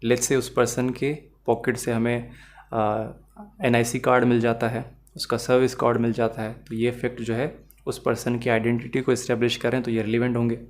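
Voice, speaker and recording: A Pakistani man delivers an explanatory lesson in a medium-pitched voice. He sounds like a lecturer, laying out points and examples didactically. He often switches into English phrases as he goes. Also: level tone, moderate pace. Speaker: law lecturer.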